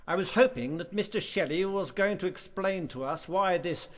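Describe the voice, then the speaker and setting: An actor playing a stern, measured college master in a radio play, speaking formally and with authority. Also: male voice, high-pitched, lightly acted. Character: stern, measured male college master presiding over a hearing. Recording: echoey hall with long reverb.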